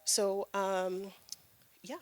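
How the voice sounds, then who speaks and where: A woman's voice: medium pitch, flat delivery, drawn-out words. A young woman in her twenties speaking as a panelist at an event, friendly and chatty with frequent ums. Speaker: panelist, graduate student. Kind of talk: answering on a panel. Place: event room with audience.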